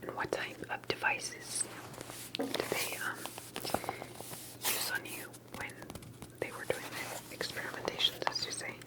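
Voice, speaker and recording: A woman speaking in a soft, breathy whisper, gently and carefully asking questions in an ASMR interview roleplay. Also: a little slow, pauses often, poor audio.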